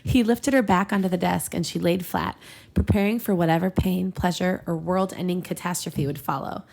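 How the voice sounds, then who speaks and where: Giggly woman reading aloud, creaky voice. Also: fairly low pitch, steady tone, normal speed, a little mellow. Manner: giggly, breaks off to joke. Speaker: podcast host. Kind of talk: comedic read-aloud of fan fiction with banter.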